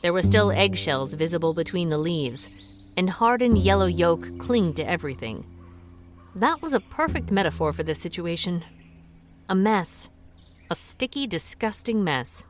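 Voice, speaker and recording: A wry amateur detective, played by a woman narrates her story in a radio play. She talks earnestly, with a dry humor, at a middle pitch. Music plays under her. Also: animated, moderate pace, lightly acted. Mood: reflective. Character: worried amateur detective woman, diner owner. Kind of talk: radio drama narration and acting.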